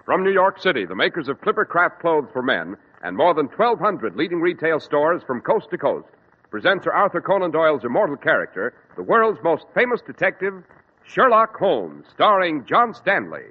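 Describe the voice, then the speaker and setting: A grand, formal announcer introducing a vintage radio mystery. His pitch swings widely as he builds each line. The sound is thin, hissy and echoey, like a worn old broadcast. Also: sing-song, moderate pace. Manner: formal, booming delivery. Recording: tinny, hissy old radio recording with reverb.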